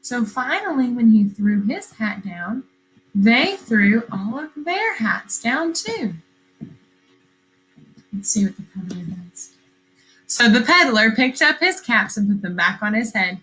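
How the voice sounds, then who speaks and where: A woman teacher reading a story aloud to young children, expressive and questioning, repeating phrases for emphasis. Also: on the high side, takes it slowly, rough recording.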